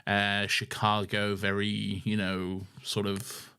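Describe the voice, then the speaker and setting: A man's voice: on the low side, monotone, takes it slowly, gravelly, sharp tone, smooth. A man co-hosting a podcast in casual banter, joking, swearing and slipping into mocking retellings. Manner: sweary, jokey, mimics characters mockingly. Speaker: podcast co-host.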